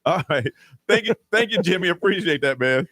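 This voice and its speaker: A man's voice: high-pitched, lively intonation, fairly quick, fairly bright tone, no echo. A man hosting a morning call-in radio show, bantering with callers in loose slang and laughing often. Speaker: radio host. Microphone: studio microphone.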